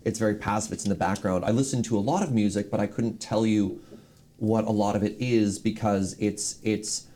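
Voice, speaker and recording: A man's voice: lowish voice, steady tone, fairly quick, mellow. A man on a panel chatting casually, explaining himself in loose, hedging fragments. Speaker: panelist on a charity stream. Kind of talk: casual chat.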